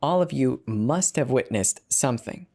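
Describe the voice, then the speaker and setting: A man's voice: mid-range voice, expressive, moderate pace, gravelly, dark tone. A man narrating a horror story as a dramatic scripted reading. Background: background music.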